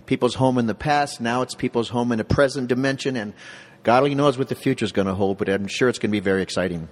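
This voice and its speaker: Earnest local man, mid-pitched, interview chat, long reverb on the recording. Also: quick pace. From Southern Colorado. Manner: earnest, restarts words often. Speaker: community center staff. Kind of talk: casual interview conversation.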